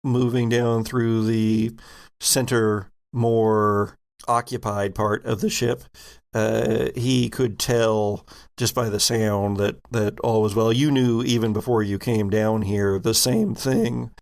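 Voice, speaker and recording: A man improvising narration as game master of a tabletop game, descriptive and halting, with pauses mid-sentence. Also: on the low side, level tone, vocal fry.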